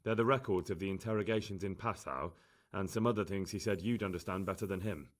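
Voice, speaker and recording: An actor playing a bold, blunt young investigator in a video game, direct and challenging. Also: male voice, deep voice, fast, natural voice. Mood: curious.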